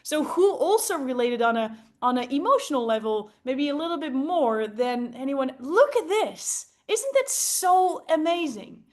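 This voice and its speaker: A woman's voice: sits high, big pitch swings, unhurried, hardly any pauses, darkish tone. A woman coaching a group on public speaking, enthusiastic and emphatic, often checking in with rhetorical questions. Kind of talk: interactive group coaching talk.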